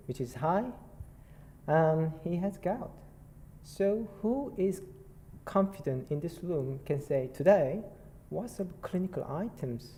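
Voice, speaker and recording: A man with a Korean accent giving a conference talk, halting and self-deprecating with many fillers. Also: on the high side, dark tone, a little hiss or hum. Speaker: nurse presenter. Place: conference hall. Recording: reverberant hall, faint background ambience.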